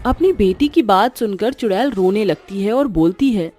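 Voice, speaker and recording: A woman's voice: mid-range voice, animated, normal speed, warm tone. Female storyteller, mid-range voice, scripted horror narration over a music bed.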